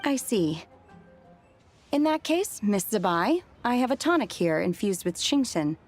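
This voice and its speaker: A reserved young woman in a fantasy game. Her mid-pitched voice is composed and matter-of-fact, with measured, polite phrasing over faint music. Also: very animated. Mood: calm, helpful. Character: calm, reserved, literal-minded young woman restaurant worker. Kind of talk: English video game voice acting.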